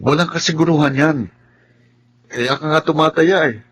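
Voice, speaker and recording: An actor playing a put-upon, resentful Filipino breadwinner son in a radio drama, slightly husky and plain-spoken. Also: male voice, a little high, fairly even intonation, moderate pace, lightly acted. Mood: bitter. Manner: plain-spoken, long-suffering.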